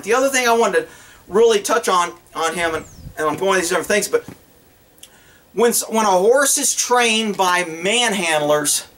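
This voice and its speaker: A middle-aged man giving blunt, folksy, off-the-cuff instruction to camera as a horse trainer. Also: sits high. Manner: animated, blunt, folksy, acts out imagined dialogue. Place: outdoors on open rural land.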